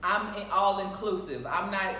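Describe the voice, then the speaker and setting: A woman's voice: mid-range voice, moderate pace, sharp tone. An American woman co-hosting a show, chatting casually in an outspoken, emphatic way and repeating phrases to press her point. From United States. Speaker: show co-host. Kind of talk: casual opinionated chat. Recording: roomy echo, distant mic, faint noise.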